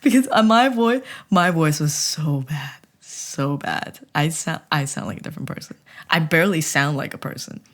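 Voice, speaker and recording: Low-voiced chatty young woman. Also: moderate pace. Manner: chatty, animated, self-mocking; rambling, with many restarts. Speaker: vlogger. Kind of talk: casual storytelling chat.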